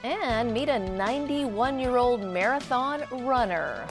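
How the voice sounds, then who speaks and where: A woman's voice: fairly high pitch, expressive, takes it slowly, over music. A woman reading scripted television news as the anchor.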